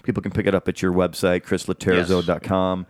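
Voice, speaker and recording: A man's voice: sits low, completely flat delivery, brisk, no echo, studio quality. A man hosting an interview, chatting casually and rambling through long questions with many restarts. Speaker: podcast host.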